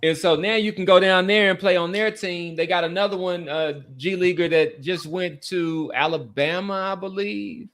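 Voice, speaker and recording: A middle-aged American man with a somewhat high-pitched voice talks as a sports podcast host. His delivery is casual and halting. He often repeats words to drive a point home. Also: steady tone, fairly bright tone.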